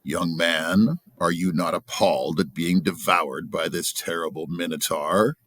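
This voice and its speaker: A man's voice: low-pitched, unhurried, a bit bright, dry recording, studio quality. A man voicing a cruel, stern tyrant king with a taunting manner in a storybook reading.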